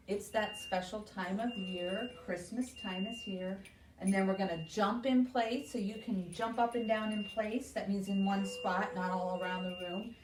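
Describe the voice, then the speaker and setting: A woman's voice: mid-range voice, darkish tone. A woman working as a children's librarian, reading and explaining to small children with simple questions at story time. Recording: echoey room, distant mic, faint noise.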